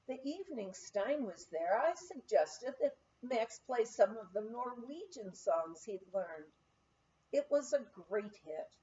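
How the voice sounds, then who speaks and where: A woman with a fairly high, very animated voice reads a light-hearted memoir aloud. She takes her time over each phrase in an expressive storytelling way. The recording is slightly dull and muffled. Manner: expressive storytelling, acting out quoted speech. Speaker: audiobook reader.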